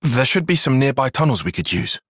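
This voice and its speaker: A man's voice: medium pitch, big pitch swings, brisk, husky, dry recording, studio quality. A man playing an earnest, analytical young soldier in a fantasy video game, speaking with precise, formal phrasing. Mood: serious, analytical. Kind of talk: video game cutscene acting.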